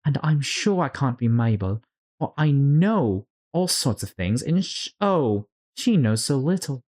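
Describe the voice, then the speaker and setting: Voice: medium pitch, big pitch swings, unhurried, a little mellow, pitched above the performer's own voice. A storyteller voicing a dramatic, bewildered young girl who frets and scolds herself in a storybook. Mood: confused, worried. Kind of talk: storybook character read-aloud.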